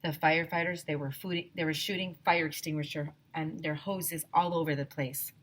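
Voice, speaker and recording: A woman teacher reading a children's story aloud expressively, with an animated, emphatic manner, addressing young listeners directly. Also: low-pitched, fairly quick, rough recording.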